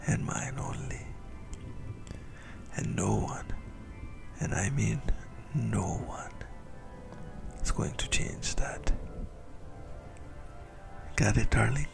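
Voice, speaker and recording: A man voicing a possessive, centuries-old vampire lover in a whispered ASMR roleplay, smugly menacing and intimate, with a breathy, gravelly delivery. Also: lowish voice, exaggerated voice.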